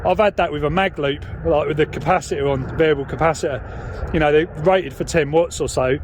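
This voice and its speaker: A man's voice: fairly high pitch, brisk, slight background noise. A British man in casual, informal radio chat while walking outdoors, hesitating and trailing off now and then. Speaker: radio hobbyist and vlogger. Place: outdoors on a dog walk.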